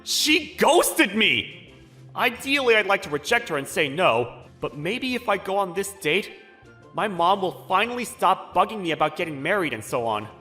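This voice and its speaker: A man's voice: sits high, very animated, normal speed, bright, forceful tone, husky, exaggerated voice. A man playing a flustered, jilted bachelor who narrates his awkward romance with dramatic, exasperated inner monologue in a manga dub. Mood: incredulous. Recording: background music under the voice.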